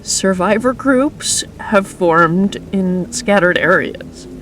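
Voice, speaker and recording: A woman playing a weary emergency radio announcer in an apocalypse radio drama, speaking in a grave, deliberate manner. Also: medium pitch, animated, fairly bright tone, a little hiss or hum, poor audio. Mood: grave, urgent. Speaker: radio news broadcaster. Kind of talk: scripted radio drama broadcast.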